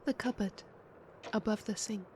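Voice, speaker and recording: A woman plays a mysterious, particular visitor in an audio drama. The voice sits at a medium pitch, with clear noise and ambience behind it. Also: moderate pace, lightly acted. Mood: matter-of-fact.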